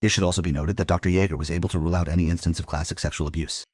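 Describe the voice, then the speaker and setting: Man as detective narrator, low-pitched, rough-edged, deadpan, terse, weary, crime audio drama, close dry sound. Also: very fast, dry recording. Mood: dry, sober. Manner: deadpan, terse, matter-of-fact. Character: male police investigator narrating his murder case, dry and world-weary.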